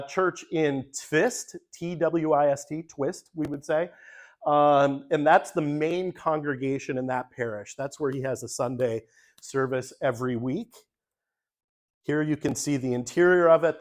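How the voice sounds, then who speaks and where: A man, a pastor, talking casually through a slide presentation at a church forum, with frequent ums and uhs. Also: a little high. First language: English. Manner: relaxed, many ums, talks through slides.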